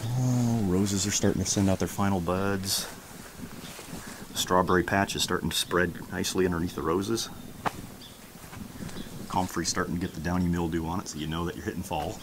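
A middle-aged American man with an exceptionally deep voice talks casually as he shows a garden. His delivery is unhurried and rambling. The audio comes from a handheld camera outdoors, with clear ambient noise behind him. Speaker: homesteading vlogger. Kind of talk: casual garden tour vlog. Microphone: camera held at arm's length.

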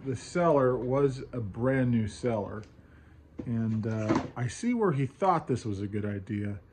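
A man's voice: medium pitch, darkish tone. A man in his thirties giving a casual, conversational how-to talk to the camera in a small room at home. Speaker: video host, online seller. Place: small indoor home office with bookshelves. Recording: clear background room noise.

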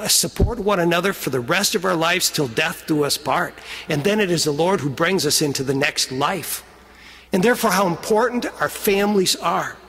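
American male priest, high-pitched, husky, earnest homily, emphatic drawn-out phrases, long chapel reverb. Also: fairly bright tone. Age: middle-aged. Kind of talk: homily, telling stories and exhorting. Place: chapel pulpit, indoors. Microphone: gooseneck lectern microphone.

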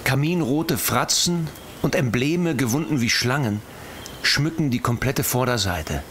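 Composed expedition diarist, faint ambience. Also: male voice, medium pitch, expressive, fairly bright tone, slight background noise. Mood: composed, observant. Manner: formal, literary, measured. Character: expedition diarist, composed, observant, quietly amused gentleman. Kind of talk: diary reading in audio drama.

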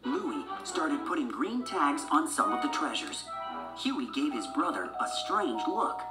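Woman reading a kids storybook, deep voice, very expressive, bit echoey. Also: measured pace. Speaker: storybook narrator. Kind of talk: scripted children's story narration. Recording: roomy sound with music behind.

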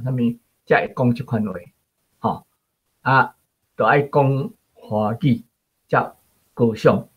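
An older man with a Taiwanese accent giving reflective commentary in halting, short phrases. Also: mid-range voice, husky. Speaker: guest writer. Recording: dull, lo-fi sound.

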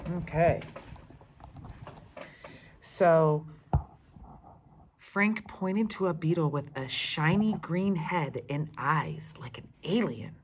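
A middle-aged woman, a teacher reading a children's book aloud in an expressive, deliberate way with explanatory asides. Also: low-pitched, slight background noise. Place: school classroom, indoors.

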